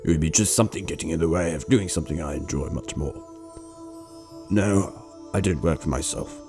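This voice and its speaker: A man plays a kindly old countryman with a very deep voice. He is patient and devout, explaining things gently and thoughtfully. The radio drama scene has music clearly playing behind him. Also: brisk. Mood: earnest, patient. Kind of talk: amateur radio drama acting. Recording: music under the voice.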